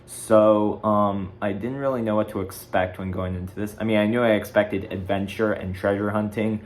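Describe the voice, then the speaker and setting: Low-voiced rambling teenage boy vlogger. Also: fairly even intonation, moderate pace. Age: teens, fifteen. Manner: rambling, self-correcting. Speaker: video blogger, host. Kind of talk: casual chat ranking video game movies.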